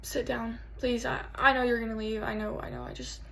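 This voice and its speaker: A woman's voice: fairly high pitch, very animated, a little fast, creaky, bright, forceful tone, a little hiss or hum, heavily acted. A woman acting a theatrical monologue as a rattled, unravelling jilted lover, stammering and repeating her words as she pleads. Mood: hesitant pleading.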